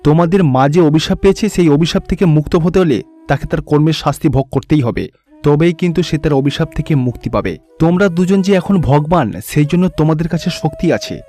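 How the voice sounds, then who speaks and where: Cartoon deity, man performing: high male voice, wrathful, grave, reproachful, music behind. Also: brisk, mellow, close to an everyday voice. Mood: stern warning. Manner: grave, reproachful, pronouncing judgement. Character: stern, wrathful male god scolding his wife.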